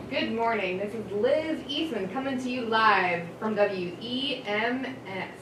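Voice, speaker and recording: A woman with a fairly high voice announces slowly in radio-host style. She is recorded from a distance in a noisy room. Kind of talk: live radio announcer delivery. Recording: noisy, echoey, distant microphone.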